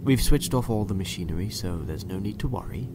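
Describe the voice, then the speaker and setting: Casting: male actor for condescending doctor in charge, dystopian audio drama; low, measured, quietly threatening, prominent music underneath. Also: lively intonation. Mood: cool, condescending. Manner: condescending, measured, at times hushed.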